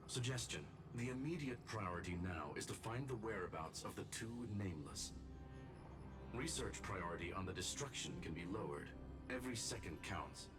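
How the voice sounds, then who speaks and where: A man playing a formal, logical scholar in a video game, speaking in measured, clinical, earnest phrases. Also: fairly low pitch, animated, lightly acted. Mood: grave, analytical. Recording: music behind, slightly distant.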